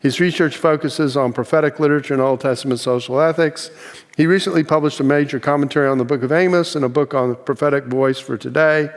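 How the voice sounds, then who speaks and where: A man's voice: fairly high pitch, fairly even intonation, fast talker, long reverb tail. An older man hosting an interview, talking in a friendly, rambling way with many fillers and restarts. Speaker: interview host.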